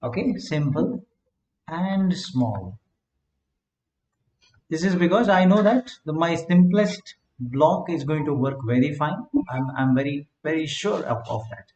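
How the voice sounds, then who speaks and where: A man lecturing a class who often checks in with "right?", repeats words and dictates notes slowly. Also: a little high, animated, darkish tone, slight background noise. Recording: echoey classroom, dull lo-fi sound.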